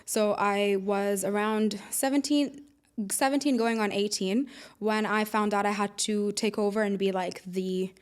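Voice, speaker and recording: Podcast guest: woman from the UAE, mid-pitched, informal conversational explainer and storyteller. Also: steady tone, a little slow, clean tone. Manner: informal, explains with everyday analogies. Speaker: podcast guest, air traffic controller.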